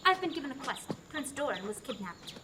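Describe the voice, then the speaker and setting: A woman's voice: high-pitched, big pitch swings, a little fast, background noise. A young woman in a student radio drama, playing an earnest heroine who has been given a quest. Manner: earnest, exasperated at times.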